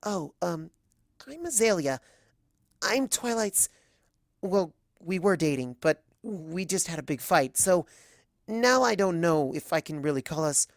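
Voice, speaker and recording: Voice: medium pitch, animated, a little slow, dry recording, clean studio sound, voice pushed up. A performer voicing a young female pony, nervous yet brave, who speaks in an earnest, hesitant, gushing way in a story reading. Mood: nervous, awkward.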